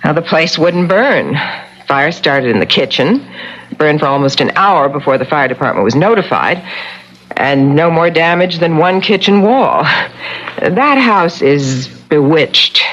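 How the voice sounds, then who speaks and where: Guarded village realtor, muffled old radio. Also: female voice, low-pitched, animated, a little slow, fairly bright tone, exaggerated voice. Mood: grave, ominous. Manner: grave, ominous, guarded. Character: wary village real estate agent, a woman. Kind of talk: 1970s radio drama acting. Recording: dull, noisy old radio broadcast.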